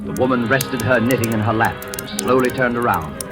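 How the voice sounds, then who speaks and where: A man hosting and narrating a 1940s radio thriller in a measured, formal, ominous manner. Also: medium pitch, over music. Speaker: narrator and program host. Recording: old 1940s radio broadcast.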